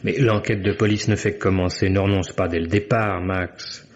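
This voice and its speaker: A man's voice: low-pitched, fairly even intonation, moderate pace, close to an everyday voice. Earnest young man played by an actor, dull vintage recording. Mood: urgent, pleading. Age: young adult. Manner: insistent, emphatic, theatrical. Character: loyal, earnest young American lawyer defending his best friend. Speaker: radio drama actor. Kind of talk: 1950s French radio drama acting.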